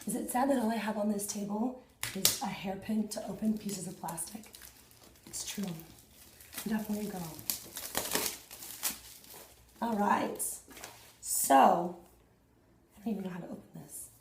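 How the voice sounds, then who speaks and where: A woman in her thirties, hoarse and husky after losing her voice, chatting excitedly to viewers in a casual unboxing vlog at home. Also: medium pitch, normal speed, pauses often, reverberant, noisy background. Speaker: fitness vlogger. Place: small indoor room at home.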